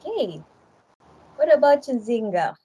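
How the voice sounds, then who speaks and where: A woman's voice: fairly high pitch, very animated, measured pace, mellow, husky, low-quality recording. A woman hosting a podcast, keeping it short as she asks the guests questions. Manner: brief, inviting questions. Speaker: podcast host. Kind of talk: casual podcast moderation.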